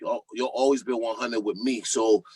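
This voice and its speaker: A man, a guest rapper on a podcast, talking loosely and excitedly about music in casual chat. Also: mid-range voice, normal speed, slightly rough, no room sound, rough recording. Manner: loose, animated, talks over others.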